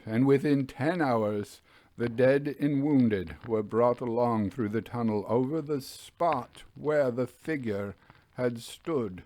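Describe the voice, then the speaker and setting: A man voicing a haunted railway signalman who recounts a spectre in a grave, halting way, as in a ghost story. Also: medium pitch, a little mellow. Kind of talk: dramatic reading of a classic ghost story.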